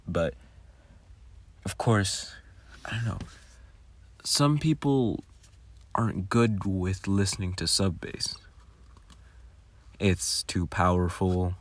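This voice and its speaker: A man giving an informal, halting, self-deprecating behind-the-scenes commentary as an audio creator, talking casually close to the microphone. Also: sits low, no room sound.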